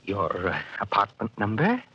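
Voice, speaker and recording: A man's voice: fairly low pitch, huge pitch swings, normal speed, very noisy. An actor playing a chatty, nervous male pharmacist who rambles and flirts, in 1940s radio acting style. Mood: flirty. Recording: muffled old radio recording.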